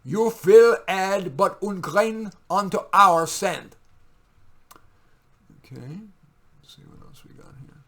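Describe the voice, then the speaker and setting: A man's voice: sits high, animated, slow, pauses often, a bit bright. A man reading his own poetry, theatrical and emphatic in the verse, with casual asides in between. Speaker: poet reading own work.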